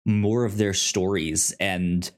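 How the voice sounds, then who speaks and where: A man's voice: deep voice, steady tone, takes it slowly. A man co-hosting a podcast, casually discussing a TV series and often stammering over repeated syllables. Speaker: podcast co-host.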